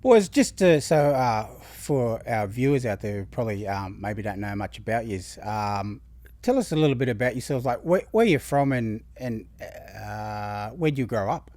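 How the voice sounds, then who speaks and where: Chatty Australian podcast host. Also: male voice, medium pitch, expressive, normal speed, a little mellow, no echo. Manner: upbeat, chatty, rambling questions. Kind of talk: casual interview hosting.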